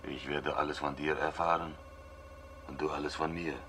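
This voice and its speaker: A man playing a resigned, conciliatory captive crewman in a science fiction radio drama, speaking gravely in a hushed, halting way. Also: extremely low pitch, level tone. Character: resigned, conciliatory male space station crewman held captive. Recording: noisy amplified background recording.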